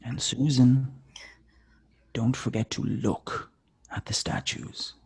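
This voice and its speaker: A man playing a menacing killer who taunts by phone in a table read, slow and deliberate with a raspy, whispery voice. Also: mid-range voice, fairly even intonation, a little mellow, full character voice. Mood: quiet menace.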